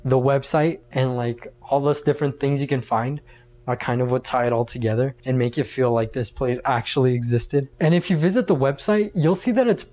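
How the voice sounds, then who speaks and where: A man's voice: mid-range voice, a little fast, dark tone. A man hosting a casual video essay, talking in an informal, conversational and slangy way.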